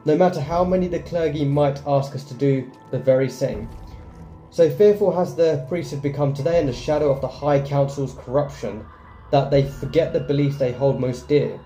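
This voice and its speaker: A man's voice: on the high side, normal speed, warm tone. A man hosting a gaming video, reading game text aloud and adding casual commentary. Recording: echoey room sound. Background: faint game music.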